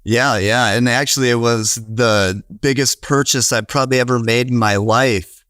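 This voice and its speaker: A man's voice: mid-range voice, steady tone, moderate pace, fairly bright tone, no room sound, studio quality. A man in his thirties with a Wisconsin accent, a guest on a podcast interview, talking earnestly in a rambling way with many restarts and fillers. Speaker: podcast guest, real estate investor. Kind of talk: casual conversational interview.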